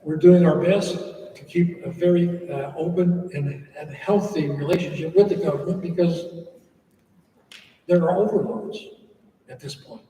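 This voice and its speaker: A man's voice: fairly high pitch, dark tone, slight background noise. An older man, a monk, giving an informal talk to an audience and telling stories with humorous asides. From United States. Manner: chatty, storytelling with humorous asides. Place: church hall. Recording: echoey hall, voice from far off.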